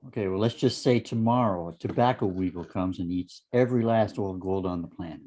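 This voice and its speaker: A man playing an inquisitive, smooth ad man in an amateur table read of a TV drama, conversational and probing. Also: deep voice, a little fast, warm tone. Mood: curious, casual.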